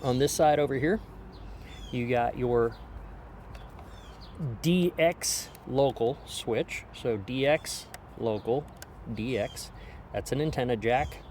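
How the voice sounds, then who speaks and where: A man's voice: medium pitch, takes it slowly, long pauses, a little mellow. A man giving a casual, explanatory hands-on product review in an informal way, speaking outdoors on a deck. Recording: outdoor background noise.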